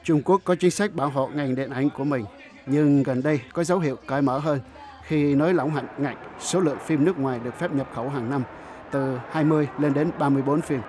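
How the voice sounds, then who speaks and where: Male reporter, slightly high voice, scripted narration, some background noise behind. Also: a little fast. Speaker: news reporter.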